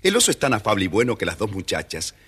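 Deep, expressive male storyteller. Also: quick pace, slightly rough. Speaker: storybook narrator. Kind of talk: scripted children's story narration. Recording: faint noise, old children's story record.

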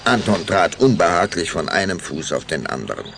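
Very deep, creaky male storyteller. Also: fairly quick, noisy background. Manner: expressive storytelling. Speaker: narrator. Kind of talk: scripted narration of a children's radio play.

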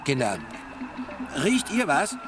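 Slow-spoken, brusque police sergeant. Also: male voice, on the high side, wildly swooping pitch, a loud crowd, heavily acted. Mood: brusque, impatient. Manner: curt, demanding. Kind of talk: radio drama acting.

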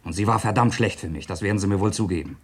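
An actor in a German radio crime drama playing a suspected, disinherited son, earnestly and emphatically justifying himself. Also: male voice, fairly low pitch, very fast, breathy edge. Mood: defensive. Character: disinherited son under suspicion, defensive and calculating.

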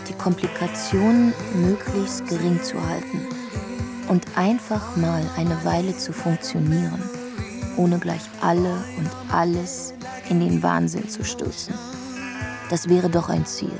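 A woman's voice: lowish voice, fairly even intonation, a little slow, vocal fry. An actress playing a frantic, frustrated working woman who tells her story bluntly and urgently in a German radio drama. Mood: weary, exasperated. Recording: music behind the voice.

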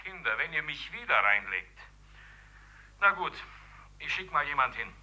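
An actor playing a brusque, sceptical police commissioner, curt and exasperated, in a 1950s German radio play. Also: male voice, a little high, very animated, normal speed, heavily acted. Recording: 1950s radio drama, very bright sound.

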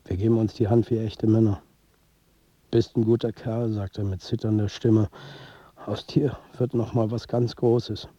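A man's voice: lowish voice, level tone, unhurried, mellow, breathy edge, no echo, lo-fi sound. An actor playing a weary, blunt, foul-mouthed football manager who tells his own story in a radio drama. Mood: wry, reflective. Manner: blunt, sweary, colloquial. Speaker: narrator and lead actor. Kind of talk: first-person radio drama narration.

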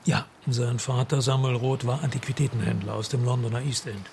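A man's voice: medium pitch, steady tone, fairly quick, vocal fry, darkish tone, a little hiss or hum, close to an everyday voice. An actor playing a well-spoken antiques dealer under questioning in a radio crime drama, formal and careful in his phrasing. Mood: guarded.

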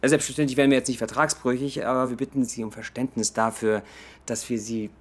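An actor playing a formal Egyptian army colonel in a radio drama, clipped and bureaucratic. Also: male voice, medium pitch, flat delivery, fast talker, husky, lightly acted. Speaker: Egyptian colonel dismissing staff.

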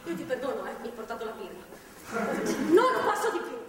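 A woman's voice: high-pitched, very animated, fast. An actress playing a young pregnant woman held captive, defiant and bitter, with a blunt, sardonic stage delivery. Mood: bitter, wary. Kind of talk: live stage drama acting. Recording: distant, echoey theatre hall, faint noise.

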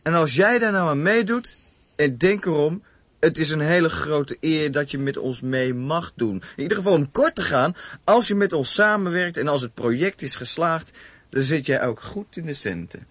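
Casting: wheedling, jovial small-time crook, boastful, fairly high voice, dry radio play sound. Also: male voice, animated, moderate pace, fairly bright tone, no room sound. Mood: boastful, coaxing. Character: smooth-talking male petty crook, mock-friendly and boastful. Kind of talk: Dutch radio drama acting.

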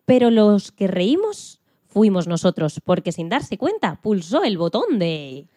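A woman narrating a children's story for radio in an expressive, dramatic way. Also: medium pitch, measured pace, dry recording, clean studio sound.

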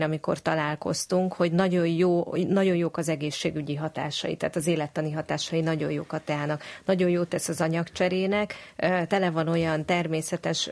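A woman's voice: low voice, a little mellow. A woman explaining casually as a studio guest, hesitant and searching for words. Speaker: studio guest, tea expert.

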